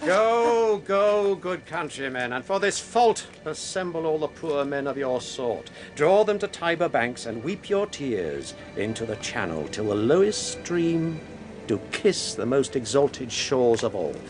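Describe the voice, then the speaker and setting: A man's voice: a little high, big pitch swings, a little slow, music in the background. An actor playing a stern male Roman tribune, giving commands. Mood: commanding.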